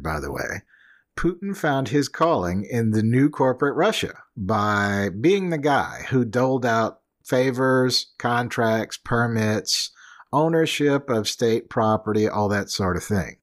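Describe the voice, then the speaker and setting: A man co-hosting a podcast in a casual chat, with dry, sardonic asides and hedging remarks. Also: medium pitch. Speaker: podcast co-host.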